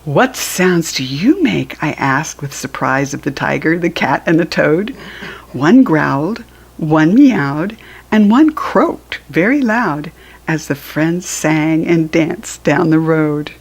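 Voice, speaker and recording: A woman's voice: low-pitched, lively intonation, takes it slowly, slightly rough. An American woman reading a picture book aloud to young children, with simple, instructive asides. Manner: acts out animal sounds while reading.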